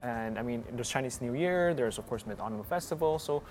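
A man's voice: medium pitch, expressive, a little fast, darkish tone, slight background noise. A man who grew up in Hong Kong, speaking as a guest in a casual interview, open and upbeat.

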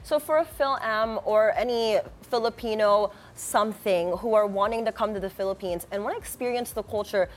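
A woman's voice: medium pitch, normal speed, hardly any pauses, a little hiss or hum. Casual woman interviewer asking questions.

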